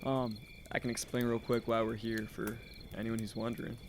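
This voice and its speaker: A man's voice: mid-range voice, little pitch movement, fairly quick, lightly acted. Man as eager teenage boy, noisy outdoors. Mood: eager, earnest. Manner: casual, rambling, earnest. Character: eager high school boy, amateur investigator. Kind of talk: naturalistic audio drama dialogue. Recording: outdoor night noise, crickets. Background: crickets and insects.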